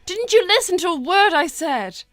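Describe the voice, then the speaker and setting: A proud cat princess, played by a woman in live roleplay, with a high voice and curt, formal, scolding delivery. She sounds stern and wounded, recorded dry over faint music. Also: animated, normal speed, a bit bright, dry recording. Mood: angry, wounded. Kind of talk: improvised live character roleplay.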